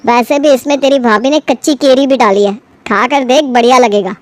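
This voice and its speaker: A woman's voice: high-pitched, fairly quick, dry recording, natural voice. Lady doing a big brother in a cartoon, sensible, bit preachy, telling folks off, close dry sound. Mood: approving. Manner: reasoning, mildly chiding. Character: adult elder brother, sensible husband defending his wife's healthy cooking. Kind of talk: cartoon family story acting.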